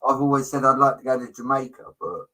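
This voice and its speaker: A man's voice: medium pitch, little pitch movement, fast talker, sharp tone. A middle-aged British man in casual livestream chat, talking in a chummy, opinionated way with slang and swearing. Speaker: livestream co-host.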